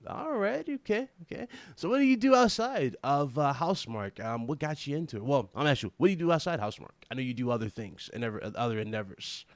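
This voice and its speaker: A man's voice: mid-range voice, lively intonation, fast talker, hardly any pauses, no echo. A man hosting a live podcast interview, hyped and eager, often tripping over and repeating his words. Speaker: podcast host.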